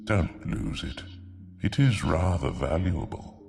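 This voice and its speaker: An actor playing a sinister, taunting villain in a video game, with a gravelly, breathy rasp and a slow, mocking delivery. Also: male voice, low-pitched, expressive, full character voice. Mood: condescending. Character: sinister male villain, smooth, taunting menace. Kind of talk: video game cutscene acting. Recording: loud music under the voice.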